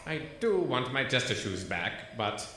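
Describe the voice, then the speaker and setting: A man's voice: mid-range voice, very animated, a little slow, pushed and bright. A man acting in an audio drama as a pompous, haughty rich villain who speaks formally and is easily outraged. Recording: echoey cave-like reverb, faint noise.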